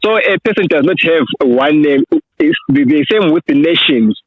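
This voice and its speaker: Zimbabwean guy answering questions over a tinny phone line, slightly high voice, lots of uhs. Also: animated, crowd noise. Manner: halting, many fillers and restarts. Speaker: author and researcher, podcast guest. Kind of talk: conversational interview answers.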